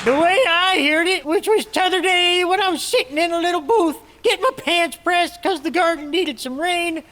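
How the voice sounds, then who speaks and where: High-pitched spry American old-timer. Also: male voice, moderate pace, pushed and bright, a faint crowd. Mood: folksy, gleeful. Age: older. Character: spry, cackling old-timer man who tells corny jokes. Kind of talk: 1940s radio comedy acting. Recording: very noisy recording.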